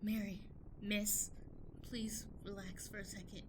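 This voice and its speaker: A woman playing an anxious, excitable young woman in an improvised radio play, dramatic, emphatic and pleading. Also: medium pitch, a little slow, a little hiss or hum, natural voice. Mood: urgent, pleading.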